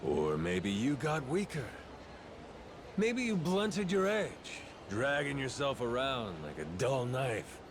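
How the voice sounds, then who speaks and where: A man's voice: medium pitch, very animated, slow pace, gravelly, background noise, full character voice. An actor playing a bitter, taunting fighter in a video game cutscene, his words drawn out and pointed.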